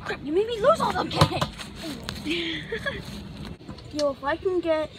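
A teenage boy vlogging outdoors in the woods on a handheld phone, chatty and excitable, cursing under his breath as he works. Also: extremely high pitch, measured pace. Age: teens. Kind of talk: casual outdoor vlog. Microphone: handheld phone camera. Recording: loud outdoor noise on phone.

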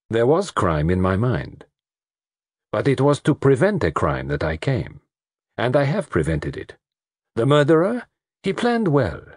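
A commanding detective with a French accent. He is deliberate, precise and theatrical, his husky voice lifting and falling widely, recorded very dry, in storybook style. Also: mid-range voice, no room sound, voice pushed up, exaggerated voice.

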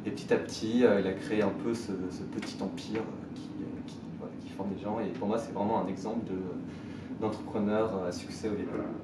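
Low-voiced man, echoey distant room. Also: fairly even intonation, a little fast, background noise. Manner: fillers and restarts, trailing off softly. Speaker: mentor to social entrepreneurs. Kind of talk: conversational interview. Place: small bright indoor room.

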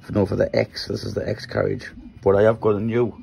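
A man from Newcastle with a Geordie accent and a croaky voice, casually talking through his workshop project while filming on a handheld phone in a small shed. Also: lowish voice, level tone, a little fast, mellow, a little hiss or hum. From Newcastle, England. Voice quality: croaky, gravelly voice. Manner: rambling, explains while handling parts. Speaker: maker vlogger.

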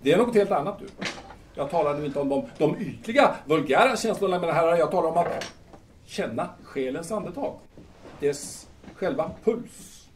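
A high, wildly swinging voice acted by a man gives us a drunken bohemian playwright, grandiose and irritable. He declaims in halting bursts for an audio drama, heard from a distance over faint noise. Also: lively intonation, lightly acted. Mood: grandiose, fervent. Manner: declamatory, halting, emphatic repetitions. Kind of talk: theatrical audio drama acting in Swedish.

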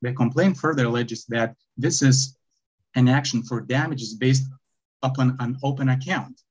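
Young man, Lithuanian accent, halting legal argument full of fillers, muffled lo-fi video call audio. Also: medium pitch, a little mellow, slightly rough. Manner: halting, many fillers and restarts, reading case citations. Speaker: appellant arguing his own appeal. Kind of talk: remote oral argument before a court. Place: bright indoor room, video call.

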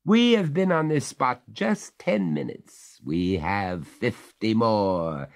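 A stern master of the house answers with measured, icy courtesy, as a man reading the story plays him. He speaks slowly and with a lively rise and fall, firm and ironic. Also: fairly low pitch, voice pushed up.

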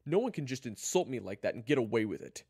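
A man voicing a hot-headed, sarcastic schoolboy with a forceful, confrontational manner in an audiobook-style story. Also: low voice, very animated, normal speed, vocal fry, no room sound, clean studio sound, voice pushed up, heavily acted. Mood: threatening, angry.

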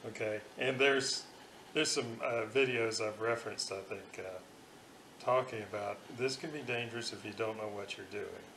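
A man giving an informal hands-on technical demonstration as an electronics hobbyist, halting and thinking aloud, recorded in a room at home on a camera's built-in microphone. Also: lowish voice, gravelly, pushed and bright. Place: room in a house. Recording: roomy echo, faint background noise.

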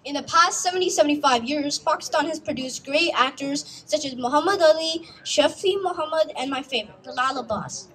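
High-voiced young speaker, earnest recital. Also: female voice, fairly quick, hardly any pauses, fairly bright tone. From United States. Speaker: youth speaker. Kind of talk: prepared speech read aloud. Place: outdoor stage in a park.

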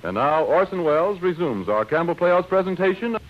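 A man announcing in scripted 1930s radio style, presenting a drama and pitching for its sponsor. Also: big pitch swings, fairly quick. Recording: muffled 1930s radio broadcast, music.

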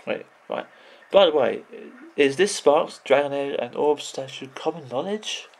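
A man in his thirties with a croaky voice, rambling off the cuff as he vlogs about video games at his computer, full of restarts and "you know"s. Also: medium pitch, lively intonation, unhurried, low-quality recording. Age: 30s. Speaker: video game vlogger. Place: indoors at a computer.

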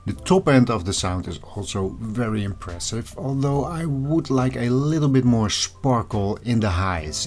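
A man's voice: fairly low pitch, a little mellow. A man hosting an audio review channel, narrating a scripted product review.

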